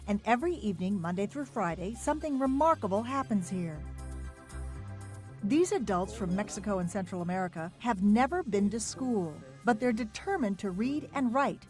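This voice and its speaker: A woman's voice: medium pitch, lively intonation, normal speed, darkish tone, soft background music. A woman reporter giving scripted, upbeat narration for a TV news feature. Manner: upbeat, clear reporter delivery.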